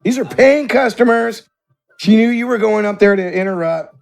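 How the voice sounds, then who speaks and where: A man's voice: high voice, moderate pace, gravelly, soft background music. A man running a tabletop game as game master, narrating in a conversational, descriptive way.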